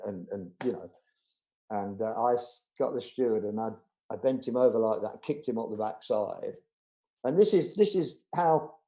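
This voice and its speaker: Guest role: middle-aged man, mellow tone, rambling anecdotal delivery. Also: mid-range voice, rough recording. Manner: chatty, anecdotal, frequent restarts and fillers. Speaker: budgerigar show judge, interview guest. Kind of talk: casual storytelling interview.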